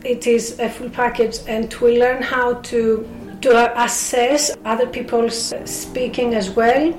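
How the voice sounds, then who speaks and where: Greek-accented woman guest, fairly high voice, held in a narrow pitch band, roomy studio sound. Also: level tone, darkish tone. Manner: careful, measured explanations with frequent fillers. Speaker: speakers club member. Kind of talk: radio interview guest.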